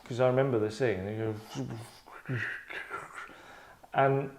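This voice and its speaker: A man's voice: medium pitch, steady tone, takes it slowly, a little mellow, slight background noise. Man rambling casually, tongue-in-cheek. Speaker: host and writer running a table read.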